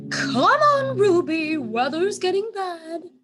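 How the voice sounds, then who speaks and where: Woman as a commanding old elephant aunt, raised pitch. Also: big pitch swings, takes it slowly, fairly bright tone, slight background noise, full character voice. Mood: stern, urgent. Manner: commanding, insistent. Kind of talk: children's storybook read-aloud.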